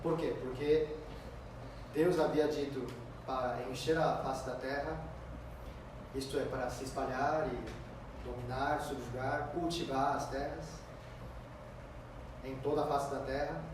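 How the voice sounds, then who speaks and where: A man's voice: medium pitch, fairly even intonation. Man preaching in an echoey church. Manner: emphatic, stretches key words slowly, swings loud and soft. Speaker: pastor. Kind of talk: expository sermon. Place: church sanctuary. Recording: echoey church from far off, noisy.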